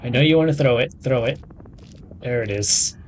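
A man in his thirties giving casual, halting gameplay commentary, thinking aloud as he plays. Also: medium pitch, slight background noise, low-quality recording. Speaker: gamer recording a playthrough.

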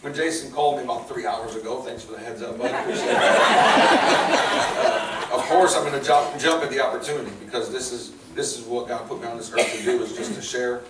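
A man's voice: medium pitch, a little fast, hardly any pauses. Chicago man giving testimony in echoey hall. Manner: casual, plainspoken, earnest, folksy. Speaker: guest speaker sharing testimony. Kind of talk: personal testimony to a church crowd. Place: large hall with stage, indoors. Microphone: handheld or lapel mic, filmed by a far camera. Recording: roomy hall, distant mic, noisy.

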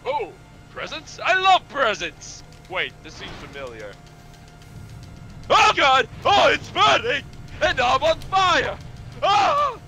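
A man's voice: very high-pitched, wildly swooping pitch, slow, pushed and bright, soft background music. A man joking theatrically as he improvises comic voices for a video game with friends. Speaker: player voicing characters in a group game dub. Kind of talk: improvised comic game dubbing, casual banter.

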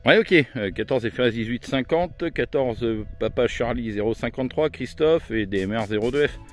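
A man's voice: mid-range voice, faint music behind. A man working a ham radio outdoors on a hilltop, calling contacts, reading out call signs and handing the mic back. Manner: brisk, repeats call signs, hands over the mic. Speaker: ham radio operator filming his contact session. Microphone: handheld radio on a directional antenna.

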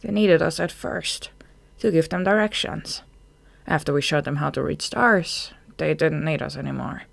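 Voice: medium pitch, moderate pace, vocal fry, a bit bright, no room sound. Young female bat for a storybook acted by a narrator: practical, distrustful, savage under dull mutters, dry close sound. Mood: dull, vengeful.

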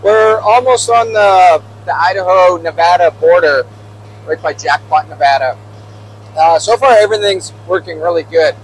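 A man in his thirties with a slightly high voice talks easily through a clip-on mic as he drives an old pickup truck. A faint engine and road hum sits under his relaxed, explanatory chat. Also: moderate pace, rough recording. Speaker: vlogger. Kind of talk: casual road trip vlog. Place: inside an old pickup truck cab while driving. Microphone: small clip-on mic on the shirt.

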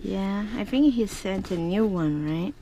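A woman's voice: mid-range voice, expressive, a little slow, dark tone, low-quality recording. A woman chatting casually as a vlog partner inside a small camper. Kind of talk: casual chat. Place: small RV interior. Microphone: action camera nearby. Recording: clear background noise, clicks.

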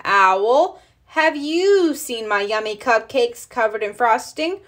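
A woman's voice: fairly high pitch, lively intonation, takes it slowly, fairly bright tone. Woman voicing an emphatic, grumpy storybook bear. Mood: urgent, questioning. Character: grumpy male bear who refuses to share. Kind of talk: children's storybook read-aloud.

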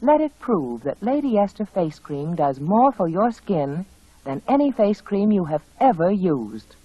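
A woman's voice: on the low side, sing-song, unhurried, slight background noise. A woman delivering a scripted 1940s radio commercial as spokeswoman, persuasive and carefully instructive. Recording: dull, dry old radio recording.

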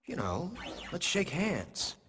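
Game villain: sneering, sleazy killer cyborg, mocking drawl that swings up and down, noisy capture. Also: male voice, a little high, huge pitch swings, slow, heavily acted. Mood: mocking, offended. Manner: leering, mocking, theatrical.

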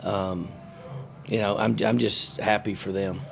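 A middle-aged coach speaks into a table mic at a postgame press conference, his low voice gravelly with fry. He talks in a halting, matter-of-fact way, looping through fillers and restarts, and a faint hum of room noise sits behind him. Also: steady tone. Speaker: basketball coach. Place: press room in front of a sponsor backdrop. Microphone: table mic on a stand.

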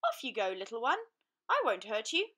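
A woman's voice: fairly high pitch, huge pitch swings, moderate pace, bright, forceful tone, exaggerated voice. A woman voicing a big, proud male lion in a children's storybook, grand and emphatic. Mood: amused, lenient. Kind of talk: children's storybook read-aloud.

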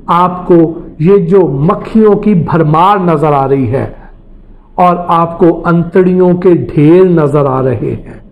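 A man's voice: high-pitched, dull audio. A middle-aged man from Pakistan, presenting from a studio desk and explaining what the footage shows, step by step. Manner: earnest, explanatory, describing step by step. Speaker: investigative reporter.

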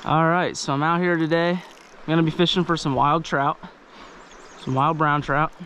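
A man fly fishing in a river, chatting casually to the camera and talking to the fish as he lands them. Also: on the high side. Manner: chatty, excited, talks to the fish. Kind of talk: casual narration while fishing. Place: outdoors wading a river. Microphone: head-mounted action camera. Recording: faint river noise outdoors. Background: rushing stream water.

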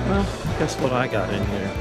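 A man's voice: mid-range voice, big pitch swings, moderate pace, loud music behind the voice. A man in his forties giving a folksy, informal walk-around vlog narration into a camera held at arm's length. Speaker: vlogger. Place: busy event halls and trade show floor. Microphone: action camera at arm's length.

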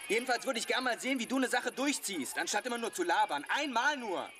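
Touchy teenage boy, quick-talking. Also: very high voice, animated, bright, forceful tone, far from the mic, a little hiss or hum, exaggerated voice. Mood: irritated, defensive. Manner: irritated, defensive. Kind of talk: German audio drama acting.

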